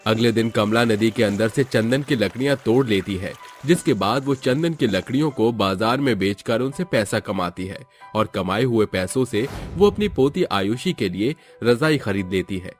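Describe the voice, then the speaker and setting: A man's voice: fairly low pitch, expressive, moderate pace, soft background music. A man narrating a scripted moral story as storyteller.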